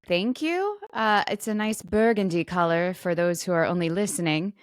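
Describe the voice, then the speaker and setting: A woman's voice: on the low side, lively intonation, normal speed, smooth, dry recording. Chatty woman co-hosting, close dry sound. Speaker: podcast co-host; actor. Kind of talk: casual chat.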